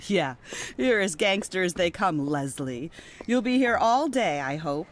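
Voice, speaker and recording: A woman's voice: fairly low pitch, expressive, unhurried, a little hiss or hum. A woman playing a gracious, teasing former First Lady hosting guests in a game cutscene. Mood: playful.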